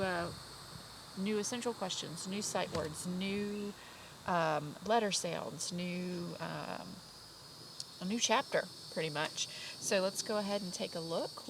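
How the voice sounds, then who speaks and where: A woman's voice: medium pitch, creaky, background noise. A woman teaching a kindergarten lesson over video from her garden, her nose and ears stuffed up, speaking encouragingly with many fillers and now and then singing simple teaching songs. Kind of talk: remote lesson to young children. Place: outdoors in a garden by an orange tree. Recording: outdoor recording, crickets and insects behind.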